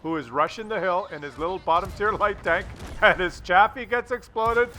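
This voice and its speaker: A man's voice: high-pitched, moderate pace, sharp tone, a little hiss or hum. A man giving casual commentary on a game replay, with a jokey, crude sense of humour and a habit of repeating his phrases. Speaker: host of gameplay commentary video.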